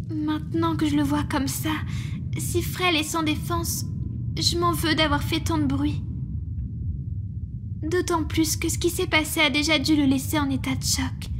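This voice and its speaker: High female monster girl, played by a woman, young, sweet, cutesy, expressive, ASMR roleplay, clearly noisy ambient bed. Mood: concerned. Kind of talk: French roleplay ASMR acting.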